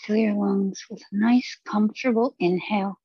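A woman teaching meditation, leading a guided body scan with gentle, unhurried instructions and long pauses. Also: mid-range voice, fairly even intonation, breathy edge, dry recording, dull audio.

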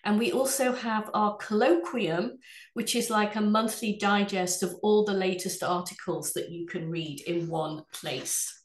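A woman from England with an English accent, head of sales, presenting a webinar from her office in a measured, polished way. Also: medium pitch, fairly even intonation, reverberant. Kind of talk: scripted product presentation.

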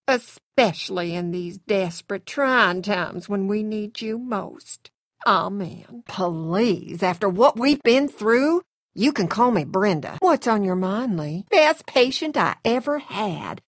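A woman's voice: medium pitch, no echo, studio quality. An actress voicing a middle-aged, folksy Southern farm matriarch in a video game, sweetly polite and motherly with a sinister streak. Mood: solemn, then friendly, chatty. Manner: sweetly polite, coaxing, homespun. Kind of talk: acted video game dialogue.

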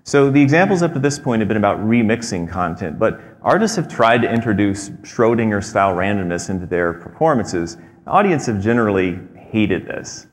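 A man in his thirties giving a prepared talk on a theater stage, measured and explanatory, with frequent fillers. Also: on the low side, animated. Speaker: presenter. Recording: long hall reverb.

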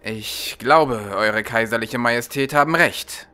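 A man's voice: medium pitch, measured pace, sharp tone. A man voicing a courtly, calculating serpent-man advisor in a formal, deferential audiobook manner.

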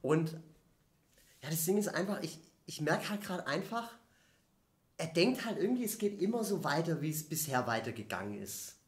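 A man's voice: on the high side, big pitch swings. Man playing a hesitant, overthinking jogger, roomy sound. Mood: hesitant, confiding. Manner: halting, rambling, keeps starting over. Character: hesitant, overthinking, admiring male amateur jogger. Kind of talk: improvised comedy radio play. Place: dark curtained theatre stage. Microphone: stand microphone.

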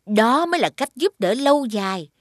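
A woman's voice: fairly high pitch, sing-song, moderate pace. A woman voicing a caring, sensible mother in a children's cartoon, advising in a southern Vietnamese accent. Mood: gently advising. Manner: questioning, advising.

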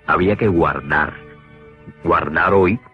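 A man's voice: low-pitched, slow pace. A man narrating an educational cartoon from a script in a didactic, explanatory manner. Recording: dull lo-fi old cartoon soundtrack with music. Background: clear background music.

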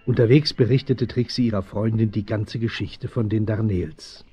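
A man narrates a children's radio play with a warm, mellow voice. The recording is somewhat dull and lo-fi, with light music behind his storytelling. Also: fairly low pitch, fairly even intonation, normal speed.

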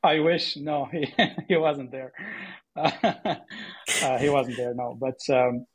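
A man from Syria with a very husky, breathy voice talks as an interview guest. He tells his story conversationally and haltingly, repeating words as he goes. The sound is close and dry. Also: mid-range voice, fairly even intonation, dry recording. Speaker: podcast guest, AI startup co-founder.

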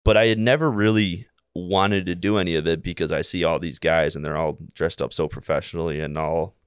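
Young American male, 20s, podcast guest. Very low, smooth voice, rambling anecdotal delivery. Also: fairly even intonation, dry recording, clean studio sound. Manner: halting, rambling, repeats himself while telling anecdotes. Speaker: podcast guest, air traffic controller. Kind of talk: casual interview storytelling. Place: small indoor room, seated. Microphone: close podcast mic on boom arm.

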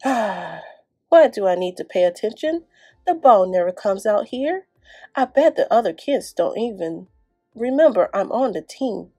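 A woman storyteller voices a dreamy, excitable little boy from a picture book. The pitch is high and leaps about, as he ponders aloud and exclaims dramatically. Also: measured pace, breathy edge, no echo, clean studio sound, soft background music, heavily acted. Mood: bored, wistful. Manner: dramatic, musing then exclaiming. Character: daydreaming little boy, bored, then excitable and imaginative.

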